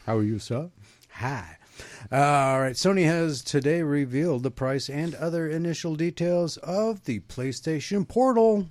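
A man's voice: on the high side, a little slow, darkish tone, dry recording. A man hosting a radio show in a studio, chatting casually and reading news items aloud with frequent restarts.

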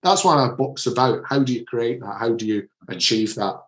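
Man, Manchester accent, author being interviewed, earnest with frequent restarts, middle pitch, roomy sound. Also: normal speed. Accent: Manchester English. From Manchester area, England. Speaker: author and leadership coach. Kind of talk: podcast interview answers.

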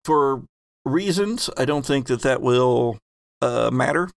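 A man running a tabletop role-playing game as game master, asking the players questions in a relaxed, joking way. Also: mid-range voice, slow pace, vocal fry, no room sound, clean studio sound.

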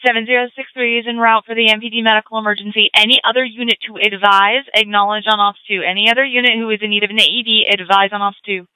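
Very fast, bright police dispatcher. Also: mid-range voice, fairly even intonation, smooth, dry recording, low-quality recording. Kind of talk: police dispatch radio traffic. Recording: close dry radio dispatch audio.

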